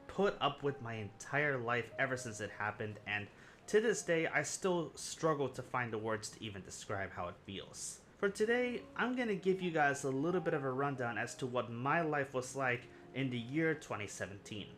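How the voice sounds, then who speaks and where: A man's voice: medium pitch, pushed and bright. Earnest young man from Puerto Rico. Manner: earnest, rambling, self-correcting. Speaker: film review channel host. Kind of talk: personal storytime vlog. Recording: music playing underneath.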